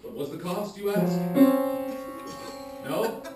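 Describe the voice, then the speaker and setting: A man's voice: sits high, takes it slowly. A man playing a pompous, mock-dramatic narrator of a live comedy radio serial, grandiose and easily put out. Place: live performance venue. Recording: echoey live stage, distant, loud music.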